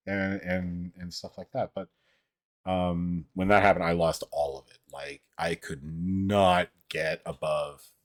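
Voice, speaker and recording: A young man casually chatting with livestream viewers in a deep, fairly monotone voice, rambling with filler words and frequent swearing, his voice a little strained. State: voice strained from an old throat injury. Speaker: livestream host.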